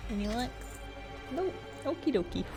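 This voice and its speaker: A woman in her thirties chatting casually while she plays a game, rambling and halting with restarts and soft laughs. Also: fairly high pitch, expressive, music in the background. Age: 30s. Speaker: streamer. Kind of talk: casual chat while gaming.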